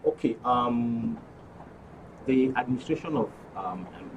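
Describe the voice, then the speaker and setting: A man's voice: medium pitch, lively intonation, unhurried, dull audio. A Nigerian man speaking hesitantly as a studio guest. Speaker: guild member, studio guest. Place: radio and TV studio. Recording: noisy quiet studio pickup.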